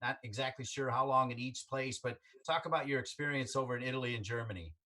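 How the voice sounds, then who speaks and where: A man's voice: mid-range voice, quick pace, pushed and bright. A man hosting a casual sports interview, chatty and upbeat as he asks questions. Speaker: interview host.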